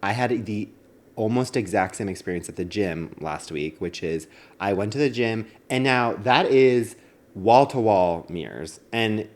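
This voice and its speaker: A man's voice: lowish voice, expressive, a little slow. A man co-hosting a podcast, bantering casually with a playful, wry comic touch. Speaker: podcast co-host.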